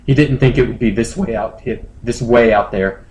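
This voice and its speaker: A man's voice: mid-range voice, moderate pace, a little mellow. A man narrates a comic story on an amateur podcast. He reads it mock-seriously, with audible amusement. The recording is roomy and echoing. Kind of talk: comedic dramatic story reading.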